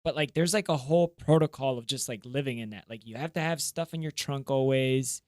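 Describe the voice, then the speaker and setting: A man's voice: mid-range voice, fairly quick, no room sound, studio quality. A man from Florida, born in Colombia, chatting casually as a podcast co-host in slangy talk full of "bro" and "like". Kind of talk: casual chat.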